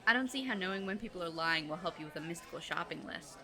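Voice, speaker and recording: A woman's voice: on the low side, lively intonation, fast talker, sharp tone, in a large hall. A woman playing a sarcastic, exasperated teenage girl, dry and sceptical, in an audio drama. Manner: dry, sardonic, nagging.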